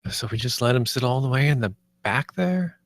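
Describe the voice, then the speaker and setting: A man's voice: mid-range voice, lively intonation, brisk, no echo, close to an everyday voice. Man playing an eager, nervous designer, dry close sound. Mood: frustrated. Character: eager, nervous 27-year-old theme park designer pitching ideas. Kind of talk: script table read.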